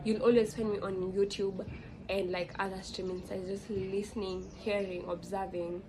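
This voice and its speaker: Young woman, Kenyan accent, chatty and rambling, mid-pitched voice, faint hiss. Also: level tone, normal speed. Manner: rambling, frequent fillers and self-corrections. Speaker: vlogger. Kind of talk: casual reflective monologue.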